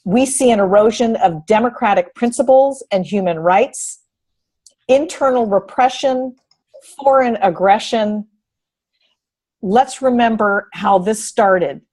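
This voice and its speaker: A woman co-hosting a talk show, speaking earnestly and haltingly in an unscripted discussion. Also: a little high, a little mellow. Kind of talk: unscripted discussion, reading quotes aloud.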